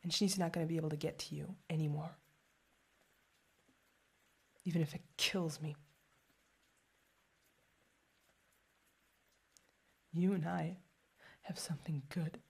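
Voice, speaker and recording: A woman's voice: deep voice, steady tone, normal speed, vocal fry, a little mellow, breathy edge, full character voice. A young woman playing a protective girlfriend who is furious and hurt, mostly whispering with stumbling, intense outbursts in an ASMR roleplay. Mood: hurt, loving.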